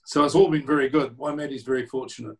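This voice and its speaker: A man with a croaky voice, presenting a report to a council over a video call in a chatty, rambling way. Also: mid-range voice, steady tone, fast talker. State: croaky voice, clearing throat.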